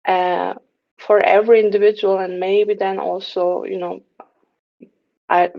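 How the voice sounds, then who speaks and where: A woman's voice: medium pitch, little pitch movement, a little slow, gravelly, lo-fi sound. A woman from Turkey, talking thoughtfully on a video call, with frequent hesitations and restarts. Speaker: working group steward. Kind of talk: casual video call discussion.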